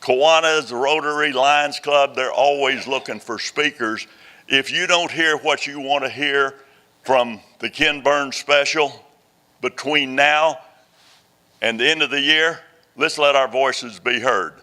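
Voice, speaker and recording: A man's voice: mid-range voice, measured pace, sharp tone. An older man introducing a guest speaker at a veterans' meeting, rousing the room and mixing in jokes. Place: meeting hall before a live audience.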